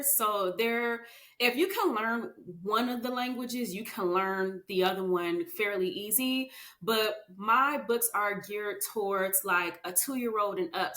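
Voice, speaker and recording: A woman talking casually as a podcast guest, chatty, with many fillers and "you know what I mean" asides. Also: pushed and bright. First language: English. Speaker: podcast guest, children's book author. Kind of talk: casual interview chat. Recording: echoey room with faint rumble.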